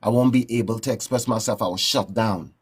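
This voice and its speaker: A man from Trinidad with a Trinidadian accent, telling stories in a relaxed, rambling way as a guest in a casual interview. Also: fairly low pitch, a little fast, slightly rough. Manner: relaxed, rambling, frequent "you know what I'm saying". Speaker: guest musician.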